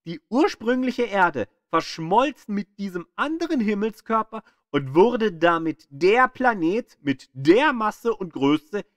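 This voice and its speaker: A man narrating a scripted educational audio guide like a playful tour guide, with deliberate, emphatic storytelling delivery. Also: sits high, big pitch swings, no echo, clean studio sound.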